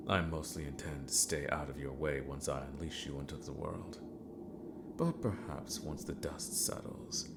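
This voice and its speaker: A very deep-voiced villain mentor played by a man speaks slowly in a fantasy ASMR roleplay. He is courteous, scheming and a little unhinged, with a gravelly creak to his formal, theatrical phrasing. Noticeable noise sits behind the voice. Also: full character voice. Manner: slow, formal, theatrical, sometimes muttering to himself.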